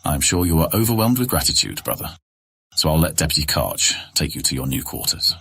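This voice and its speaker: An actor playing a scheming, condescending noble brother who mocks his younger sibling with cold sarcasm in a husky voice. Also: very deep voice, very animated, a little fast, bright, forceful tone, heavily acted. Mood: smug. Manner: smug, mocking, coldly sarcastic. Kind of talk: audio drama acting.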